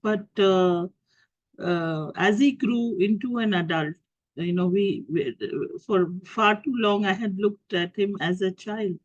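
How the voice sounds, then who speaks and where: A middle-aged woman with an Indian English accent speaks through a cold and cough, picking her words with many pauses, fillers and restarts. Her voice comes over a dull, lo-fi video-call line with a dry, close sound. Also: mid-range voice, no room sound. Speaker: guest speaker. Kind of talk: talk to an online group.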